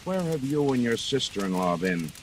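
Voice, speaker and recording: An actor playing an unflappable police captain who questions in a clipped, businesslike way in an old-time radio mystery. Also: male voice, medium pitch, very animated, moderate pace, background noise, lightly acted. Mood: pointed. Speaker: police investigator.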